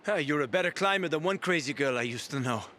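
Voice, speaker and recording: A man's voice: fairly high pitch, animated, fast talker, natural voice. A man acting a roguish, easygoing survivor and guide in a video game, breezy and persuasive with a wry edge.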